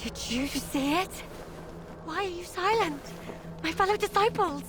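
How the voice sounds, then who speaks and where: Guilt-ridden game disciple, high voice, halting n anguished, really slow. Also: female voice, music in the background. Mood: grieving, remorseful. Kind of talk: video game cutscene acting.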